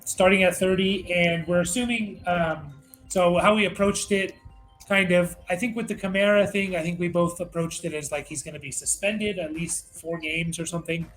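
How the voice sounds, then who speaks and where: A man's voice: high voice, fairly even intonation, normal speed, a bit bright, soft background music, poor audio. A man hosting a fantasy football show in a casual, conversational sports rankings style.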